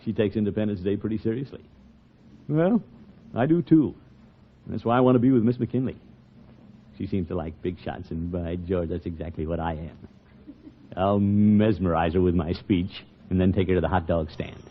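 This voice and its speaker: An actor playing a pompous, blustering middle-aged uncle in a 1940s radio comedy, theatrical and easily flustered. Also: male voice, low-pitched, moderate pace, pauses often, a little hiss or hum. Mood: self-satisfied. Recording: muffled 1940s radio recording.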